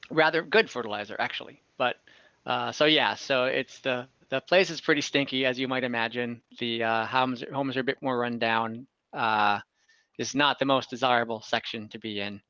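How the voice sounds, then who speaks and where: A man's voice: mid-range voice, bright, forceful tone, no room sound. A man narrating as game master, describing a scene casually with many fillers and self-corrections. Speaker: dungeon master. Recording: slightly dull lo-fi online audio.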